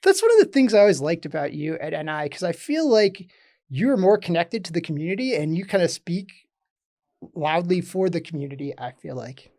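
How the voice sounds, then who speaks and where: A man hosting a podcast. He reads a scripted show opening, then chats casually with his guest and often trails off softly. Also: sits high, sing-song, a little fast, no room sound, clean studio sound. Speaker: podcast host.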